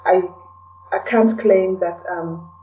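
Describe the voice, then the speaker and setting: A woman's voice: on the low side, fairly even intonation, slow pace, recorded from a distance, dull audio, slight background noise. A woman from Kenya talking reflectively as an interview guest, in casual conversation, often tagging her sentences with "you know".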